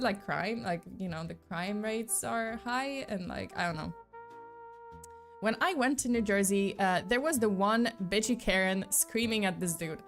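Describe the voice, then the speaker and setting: Bubbly woman streamer with a slight accent. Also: on the high side. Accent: slight mixed non-native. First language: not English. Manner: bubbly, rambling, lots of fillers and laughs. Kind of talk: casual live-stream chat.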